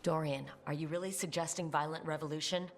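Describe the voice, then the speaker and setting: A woman's voice: low-pitched, fairly even intonation, quick pace, natural voice. A woman playing a level-headed, pragmatic adventurer in a video game, direct and composed as she questions others. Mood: questioning. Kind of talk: video game drama acting.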